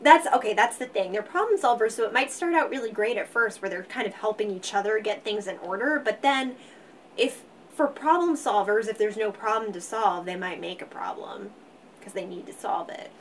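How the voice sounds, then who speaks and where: A woman talking in a relaxed co-host chat about star signs, her voice quiet but rising in volume when she gets excited. She sits a little back from the microphone, so she sounds slightly distant. A faint hiss of room noise hangs behind her. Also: mid-range voice, moderate pace. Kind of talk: casual astrology chat.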